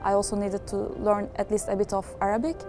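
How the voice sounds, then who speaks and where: A woman's voice: medium pitch, level tone, quick pace. A young woman from Turkey, a PhD student, speaking earnestly to camera in an office. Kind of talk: interview to camera. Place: office room. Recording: music clearly behind.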